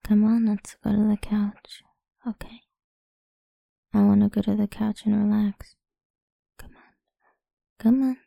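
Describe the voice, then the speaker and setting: A woman speaking in a soft, slow, coaxing whisper for a close-mic ASMR roleplay. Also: medium pitch, flat delivery, gravelly, dry recording.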